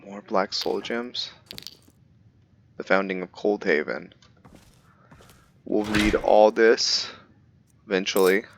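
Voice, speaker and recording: A man's voice: on the low side, fairly even intonation, takes it slowly, vocal fry, pauses often, slight background noise. A man giving casual let's-play commentary, thinking aloud and narrating his own moves as "we". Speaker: gameplay video creator.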